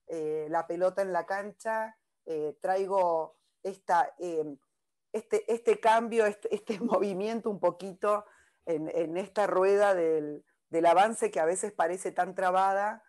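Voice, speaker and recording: A woman on a round-table panel, talking slowly in a lowish voice. She stops and restarts often and leans on fillers while she works out her thought. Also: darkish tone. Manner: halting, repeats words, many fillers.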